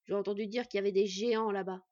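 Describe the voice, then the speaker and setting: A woman's voice: medium pitch, steady tone, fast talker, a little mellow, lo-fi sound, close to an everyday voice. A woman voicing a jolly, boisterous male smith who talks in a hearty, teasing way.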